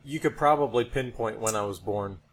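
A man's voice: lowish voice, a little fast. Man in his thirties, casual joking podcaster. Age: 30s. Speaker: podcast co-host. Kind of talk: casual chat. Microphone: podcast microphone.

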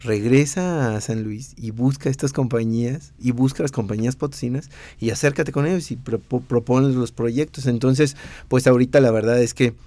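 A man's voice: mid-range voice, moderate pace, dark tone, dry recording. A Mexican man in his thirties with a Mexican accent, a designer and teacher chatting casually in an interview, rambling with many fillers and restarts.

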